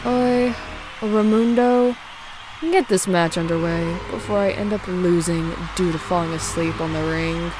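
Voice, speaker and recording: Woman doing a bored insolent fighter in a visual novel, low-ish and sarcastic, noisy background. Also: a little slow, close to an everyday voice. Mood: bored, mocking. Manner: dismissive, sarcastic. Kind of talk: acted visual novel dialogue.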